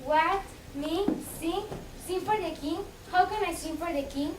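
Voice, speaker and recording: A frightened young peasant daughter a woman acting pleads in a high voice, anxiously repeating herself. It is stage-play speech, recorded at a distance with clear noise around it. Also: a little slow. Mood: bewildered. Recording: distant echoey room, clear background noise.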